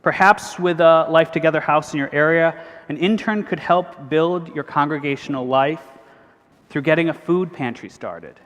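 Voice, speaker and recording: A priest, giving an earnest, measured convention speech. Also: male voice, fairly high pitch, a bit bright. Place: large cathedral. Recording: long hall reverb.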